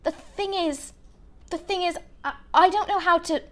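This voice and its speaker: A woman acts a shy young boy in a storybook. The voice is high and hesitant, politely stumbling over words with nerves. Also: moderate pace, a bit bright. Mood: nervous. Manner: hesitant, stammering. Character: shy, nervous eleven-year-old boy, polite.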